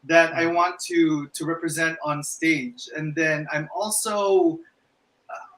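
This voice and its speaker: A man's voice: fairly high pitch, fairly even intonation, takes it slowly, fairly bright tone, echoey room, rough recording. A man, a pageant candidate, answering in a composed way with a few repeated words. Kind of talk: interview answers.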